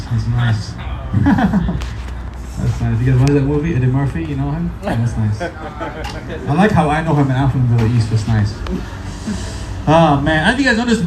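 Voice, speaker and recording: Stand-up comic, man, Syrian accent, slightly high voice, deadpan and tipsy, echoey cafe room. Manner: deadpan, repeats "that's nice" after punchlines. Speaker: stand-up comedian. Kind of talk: stand-up comedy set. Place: small cafe comedy stage. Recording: echoey room with crowd noise. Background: audience laughter and room ambience.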